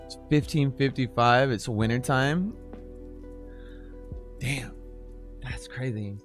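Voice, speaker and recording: Soft-spoken man. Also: mid-range voice, slow pace, soft background music. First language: Norwegian. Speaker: guest. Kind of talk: casual chat.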